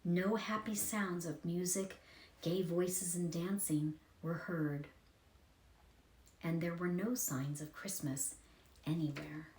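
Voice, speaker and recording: A woman's voice: lowish voice, steady tone, takes it slowly, darkish tone, roomy sound, slight background noise, low-quality recording. A woman reading a children's story aloud as a scripted narration.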